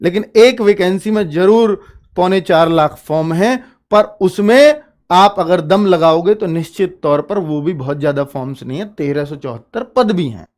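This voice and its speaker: A man's voice: sits high, normal speed, a little mellow. A man, speaking as a coaching teacher who explains things informally to students, posing questions, answering them himself and repeating points for emphasis.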